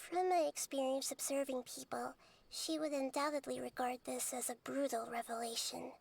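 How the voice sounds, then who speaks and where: An actress voicing a gentle, wise, childlike goddess in a video game, speaking softly and thoughtfully. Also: female voice, high-pitched, fairly even intonation, normal speed, creaky, a little hiss or hum. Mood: pensive. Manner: thoughtful, soft and careful. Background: game music.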